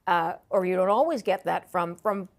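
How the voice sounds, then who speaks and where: A woman's voice: on the low side, normal speed. A woman working as a news interviewer, conversational and attentive, chiming in with agreement.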